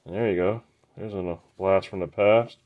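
A man hosting a trading card break, casually naming each card as he opens the packs in an unhurried, matter-of-fact way. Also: deep voice, steady tone, narrow, tinny audio. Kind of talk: casual pack-opening commentary.

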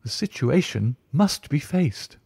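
A man's voice: medium pitch, sing-song, moderate pace, warm tone, slightly rough, dry recording, clean studio sound, pitched above the performer's own voice. A man voicing an agitated, fussy male college tutor in an audiobook, pleading and anxious.

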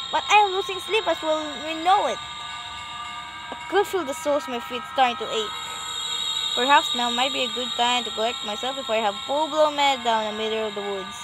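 A woman reading a visual novel aloud as she plays, with expressive, excitable delivery. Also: high voice, very noisy. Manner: expressive, excitable, swearing in asides. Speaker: gamer recording a playthrough. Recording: loud music over the voice. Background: loud intense game music.

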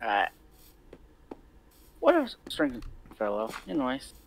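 A man improvising an easygoing, tired young newcomer in a Minecraft roleplay, speaking casually and haltingly. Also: mid-range voice, huge pitch swings, vocal fry, slight background noise, exaggerated voice. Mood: casual, agreeable.

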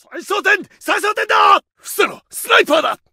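An actor playing a Japanese soldier and tank crewman, shouting clipped military commands and combat callouts in a game. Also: extremely high pitch, lively intonation, moderate pace, heavily acted.

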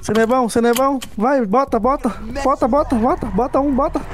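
A man's voice: very high voice, quick pace. A man with a Brazilian accent bantering in casual slang while playing an online game. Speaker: player in online roleplay game.

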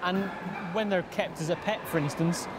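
A man's voice: high-pitched, moderate pace. A young man presenting and putting questions to guests in an interview. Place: large busy indoor exhibition hall. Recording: busy show hall noise.

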